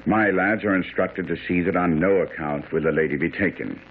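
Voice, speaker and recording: An actor playing a self-assured, brilliant detective in a radio drama, speaking with precise, theatrical authority. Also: male voice, low voice, fairly quick. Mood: assured, plotting. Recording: tinny, faintly noisy old radio broadcast.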